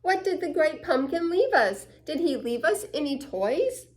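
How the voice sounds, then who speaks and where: A woman plays a hopeful little boy from a picture book. The voice is high and unhurried, earnest and wide-eyed, full of belief. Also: sing-song. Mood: excited.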